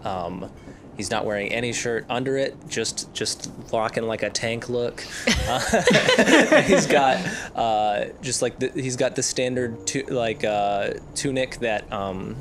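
A man playing a tabletop game, casually improvising a description of his character in a halting, jokey way. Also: mid-range voice, lively intonation, background noise.

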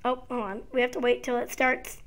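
A child or young teen hosting a casual gaming video with live gameplay commentary, sometimes slightly raspy. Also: mid-range voice, level tone, a little fast, fairly bright tone. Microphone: gaming headset.

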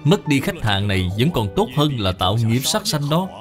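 A man acts out a devout, conscientious businessman for a moral cartoon. His voice is mid-pitched, earnest and courteous, with a pleading edge, over faint music. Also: very animated, moderate pace, natural voice. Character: earnest, conscientious Buddhist businessman, adult son. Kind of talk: dubbed moral cartoon dialogue.

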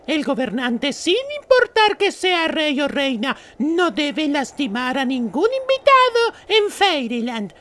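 A man's voice: extremely high pitch, big pitch swings, slow, few pauses, bright, forceful tone, exaggerated voice. A man voicing a small, timid bird advisor to royalty in a cartoon, hesitant, formal and deferential.

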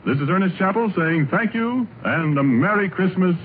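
A man's voice: high-pitched, expressive, normal speed, slight background noise. An American man reading a scripted sponsor announcement as an old-time radio announcer. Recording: tinny 1930s radio broadcast, loud music. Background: music.

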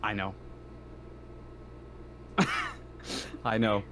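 A man's voice: lowish voice, little pitch movement, drawn-out words, a bit bright, slight background noise. A man playing a tabletop roleplaying game in casual chat, hesitant, often repeating himself and swearing.

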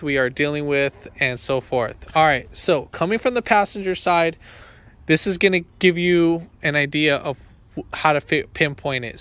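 A man in his thirties, an auto mechanic, talks viewers through a repair in an informal, halting, conversational way into a handheld phone. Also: mid-range voice, fairly bright tone, smooth, dry recording. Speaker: auto mechanic and channel host. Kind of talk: informal hands-on repair explainer to camera. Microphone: handheld phone at arm's length.

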